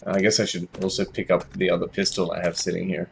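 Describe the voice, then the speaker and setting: A man's voice: deep voice, little pitch movement, fast talker, creaky, a little hiss or hum. A young Australian man with an Australian accent, thinking aloud and chatting with viewers while streaming a game. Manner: thinks aloud, chats with viewers, yelps when startled. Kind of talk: casual live gameplay commentary.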